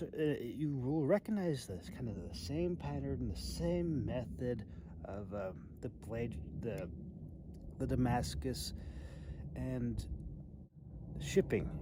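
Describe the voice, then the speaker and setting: A man in his thirties talks casually into a phone held at arm's length outdoors. He rambles through a review with a wry, hesitant manner and drifts off mid-thought. There is some light noise around his mid-pitched voice. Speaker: amateur knife reviewer. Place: outdoors in a snowy open river valley.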